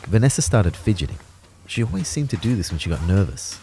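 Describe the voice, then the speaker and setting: A man acting as an anxious, introspective narrator in an audio drama, telling his own story in a low voice. His delivery is wry and reflective, with lively swings in pitch. There is some faint noise behind him. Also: fairly quick, vocal fry. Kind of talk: first-person scripted audio drama narration.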